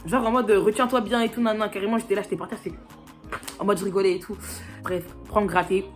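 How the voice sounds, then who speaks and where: A woman's voice: mid-range voice, fairly even intonation, quick pace. A young woman from France talks into her phone camera in loose, rambling vlog chatter, filled with giggles and little fillers. She sounds a bit stuffed up from a cold. Light music runs underneath. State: has a cold. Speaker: vlogger. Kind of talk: casual vlog talk.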